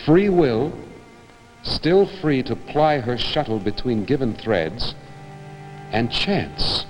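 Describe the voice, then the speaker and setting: A man reads literary prose as if from a stage, declamatory and deliberate. His pace is slow and his intonation moves widely. Long reverb surrounds him, with music behind. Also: medium pitch, lively intonation. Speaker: reader. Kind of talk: theatrical literary reading.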